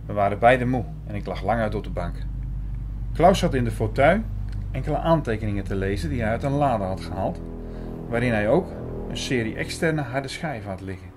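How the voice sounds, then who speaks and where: A man's voice: mid-range voice, animated, brisk, over music. A man reading scripted first-person narration for a thriller audio drama.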